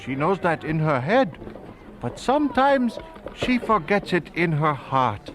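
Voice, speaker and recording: A devout Chinese evangelist in an audio drama. His voice sits high for a man and has a bright, keen edge. He speaks earnestly and formally, a believer who admits his fears. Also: sing-song, unhurried, slight background noise. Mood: earnest, troubled. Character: earnest Chinese male evangelist, devout but candidly fearful. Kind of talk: radio drama dialogue acting.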